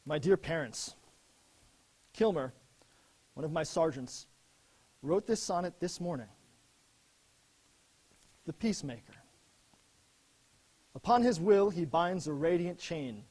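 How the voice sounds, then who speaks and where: An actor playing an earnest young American lieutenant in a dramatic, expressive reading of his letters home from war. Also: on the high side, slow pace, pauses often. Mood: solemn, reverent.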